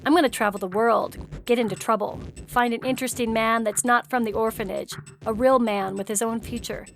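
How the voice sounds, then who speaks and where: A woman's voice: on the high side, fairly even intonation, fairly quick, soft background music, close to an everyday voice. A woman acting a defiant, adventurous young woman striking out alone in an audio story. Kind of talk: audio fiction dramatic reading.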